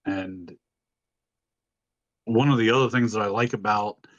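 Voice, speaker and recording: Deep-voiced man chatting casually. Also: measured pace, fairly bright tone, no echo, muffled recording. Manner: informal, swearing freely. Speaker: podcast co-host. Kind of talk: casual chat, storytelling.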